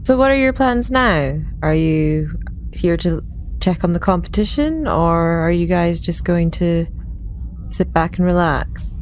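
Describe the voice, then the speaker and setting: A woman chatting casually as a player in a roleplaying game, describing her character in an informal, halting way. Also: fairly low pitch, expressive, darkish tone, slight background noise.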